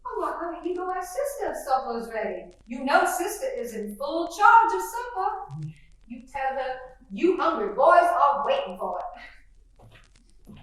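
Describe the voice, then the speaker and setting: A woman's voice: high-pitched, very animated, unhurried. An actress playing a fussy, middle-aged faded belle mother, who chatters on in a gushing, rambling way. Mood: wistful. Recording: echoey roomy sound from far off.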